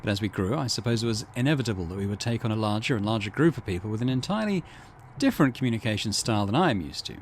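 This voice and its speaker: Lively-pitched man hosting a let's play. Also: rapid-fire, few pauses. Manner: casual, musing asides. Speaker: gaming channel host. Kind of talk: let's play, reading aloud and commenting. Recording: faint background noise and ambience.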